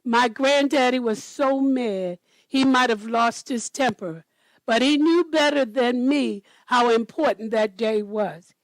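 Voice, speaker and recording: A woman storyteller reading a children's picture book aloud in a deliberate manner. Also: fairly high pitch, no room sound, low-quality recording.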